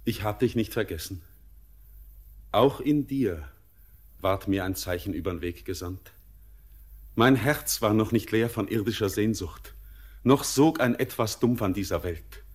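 An actor playing an entranced, mystical young miner in a 1940s radio drama, solemn and declamatory in lyrical verse. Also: male voice, on the low side, expressive, measured pace, pauses often. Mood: solemn, tender.

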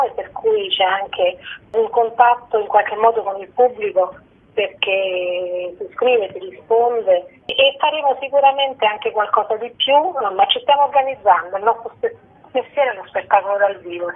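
A woman with a husky voice speaking informally as a theatre guest in a radio interview over a phone line. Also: mid-range voice. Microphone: telephone. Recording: narrow tinny phone line.

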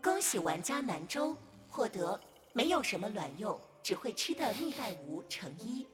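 High, lively female game announcer. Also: moderate pace, music in the background. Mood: cheerful announcing. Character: female game system announcer, chirpy and formal. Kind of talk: scripted game notification.

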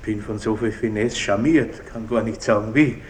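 An actor playing a blustering, vain country baron with pompous aristocratic phrasing in a radio drama. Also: male voice, lowish voice, moderate pace, natural voice. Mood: oily, conciliatory. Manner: pompous, old-fashioned aristocratic phrasing mixed with French. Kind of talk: 1960s German radio drama acting. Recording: distant, faintly noisy old radio recording.

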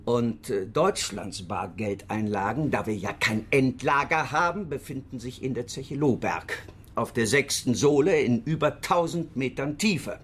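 An actor playing a secretive government official with a husky voice and a formal, condescending manner, in a radio crime drama. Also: male voice, mid-range voice, animated, measured pace, fairly bright tone, a little hiss or hum. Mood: stern, secretive.

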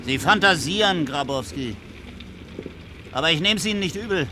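An actor playing an uneasy, sceptical officer in a German radio drama, deliberate and dismissive in manner. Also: male voice, a little high, expressive, background noise, lightly acted.

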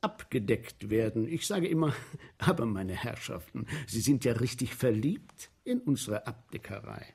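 A man's voice: medium pitch, a little slow, creaky, breathy edge, exaggerated voice. An actor in a German radio play playing an eager, ingratiating showman who guides noble spectators through macabre entertainments with theatrical flourish. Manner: ingratiating, animated, quotes aristocrats grandly.

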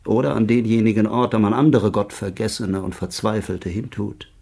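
An actor playing a stern, reproachful army chaplain in a German radio drama, preaching in archaic words with a gravelly voice. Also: male voice, fairly low pitch, steady tone, normal speed, darkish tone. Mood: stern, indignant. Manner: preaching, declamatory.

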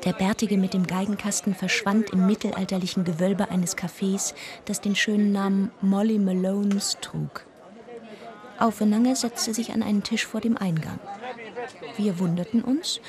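An actress playing an observant, gently wry woman narrator, telling her travel story in a measured radio-drama style. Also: mid-range voice, lightly acted. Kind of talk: scripted first-person narration. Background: music.

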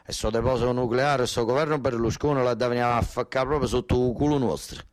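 A man's voice: medium pitch, fairly even intonation, fast talker, close to an everyday voice. Man playing blunt old southern Italian peasant father. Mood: indignant. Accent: southern Italian, Basilicata. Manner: earthy, coarse, commanding dialect talk. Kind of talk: one-man narrative theatre.

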